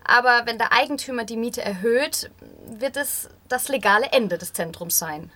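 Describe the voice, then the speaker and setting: A woman's voice: a little high, normal speed, bright, forceful tone. A woman reading translated interview answers as a voice-over. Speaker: voice-over reader.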